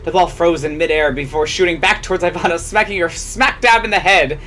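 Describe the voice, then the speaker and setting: A woman's voice: on the low side, fairly quick, a bit bright. A woman reading fan fiction aloud as a dramatic story narrator.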